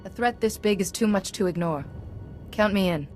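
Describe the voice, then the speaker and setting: An actress playing a calm, resolute female Jedi in a video game, speaking in a composed, earnest way. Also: medium pitch, normal speed, natural voice. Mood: composed, concerned. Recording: dramatic music underneath.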